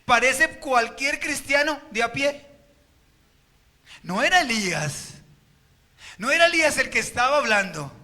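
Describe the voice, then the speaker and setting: A man's voice: very high voice, expressive, unhurried, pauses often, sharp tone. A Colombian man preaching a sermon as pastor at a church service, firing rhetorical questions at the congregation.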